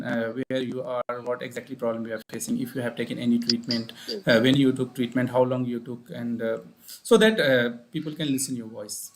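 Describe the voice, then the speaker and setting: Indian male therapist, live stream host, steady and measured, faint hiss behind. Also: medium pitch, rough recording. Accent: Indian English. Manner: measured, repeats points, frequent uh fillers. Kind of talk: live-stream talk and advice. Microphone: online live stream.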